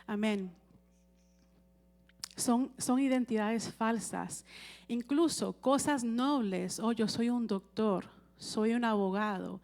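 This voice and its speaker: A woman's voice: fairly high pitch, slow pace, creaky, a little mellow. A woman preaching a sermon in a conversational way, often checking with the congregation and asking for amens.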